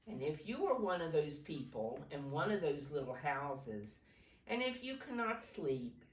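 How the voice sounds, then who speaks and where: A woman's voice: low-pitched, big pitch swings, measured pace. A woman teaching and reading a story aloud to preschoolers in simple, instructive language, often putting questions to her listeners. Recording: roomy, distant mic, faint noise.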